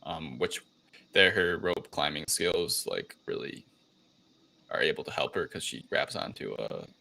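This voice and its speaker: Male podcast co-host, low and forceful, casual halting recap style. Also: very animated, creaky, poor audio. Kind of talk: casual film review chat.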